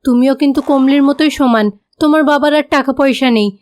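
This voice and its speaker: A woman's voice: sits high, fairly even intonation, fairly quick, natural voice. A woman voicing a stingy, bossy, middle-aged mother-in-law in a moral-story cartoon, with a Bengali accent and a scolding, domineering manner.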